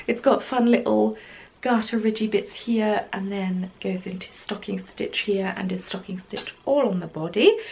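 A young woman hosting a knitting podcast, chatting casually from her craft room in a rambling, self-deprecating way with plenty of ums. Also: medium pitch. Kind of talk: casual chat. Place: small craft room at home, yarn on the wall behind.

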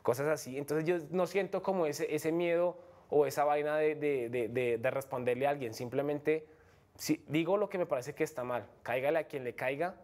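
A man's voice: fairly high pitch, moderate pace, darkish tone. A young man from Colombia with a Bogotá accent, an MMA fighter speaking frankly as a guest in a studio interview, full of fillers and restarts. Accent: Bogotá Colombian Spanish. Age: young adult. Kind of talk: casual interview talk. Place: TV studio set.

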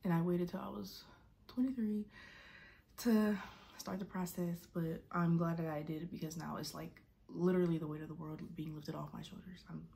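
A woman in her twenties chats to her camera from a bedroom, circling back and restarting her sentences as she goes. Her voice sits on the low side and stays fairly level, with plenty of vocal fry at the ends of phrases. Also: steady tone, normal speed, dark tone. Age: 20s. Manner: rambling, frequent restarts and "like". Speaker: vlogger. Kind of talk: casual personal vlog. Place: bedroom, indoors. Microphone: camera at desk distance.

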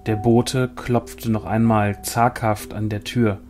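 A man calmly and measuredly reading a fantasy short story aloud as narrator. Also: fairly low pitch, slow. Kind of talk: scripted storytelling. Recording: soft guitar music behind.

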